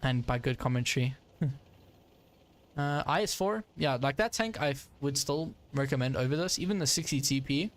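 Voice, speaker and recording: A man's voice: mid-range voice, moderate pace. A young man giving casual live commentary while playing a tank game, chatty with frequent fillers and frustrated asides. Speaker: gaming streamer.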